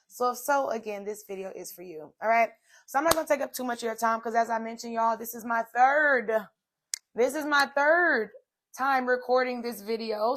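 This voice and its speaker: A woman hosting a solo inspirational talk, her voice slightly hoarse, chatty and direct, addressing viewers as "y'all.". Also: on the high side. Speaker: podcast host. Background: soft music playing.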